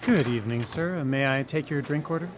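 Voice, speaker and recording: A man's voice: medium pitch, brisk, slight background noise, natural voice. A man playing a formal, condescending waiter in a radio drama. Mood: haughty. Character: formal, snooty male waiter with sly contempt.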